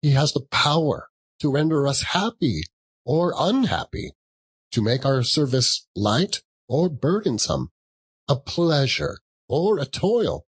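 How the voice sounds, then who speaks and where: A man voicing a softened miser in a storybook reading, earnest, defensive and halting. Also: on the high side, very animated, husky, voice pushed up, full character voice. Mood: heated, earnest. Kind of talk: dramatic audiobook reading of a classic story.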